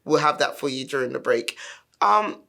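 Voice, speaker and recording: A host interviewing a guest in a chatty way, with fillers and quick follow-up questions. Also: medium pitch, expressive, creaky, bright, forceful tone.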